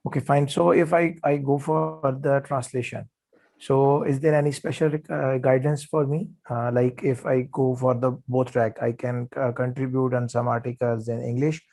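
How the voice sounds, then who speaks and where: A man, an Urdu speaker, asking halting questions on a video call. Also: medium pitch, level tone, gravelly, warm tone. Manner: halting, keeps inserting "you can say". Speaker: webinar participant asking questions.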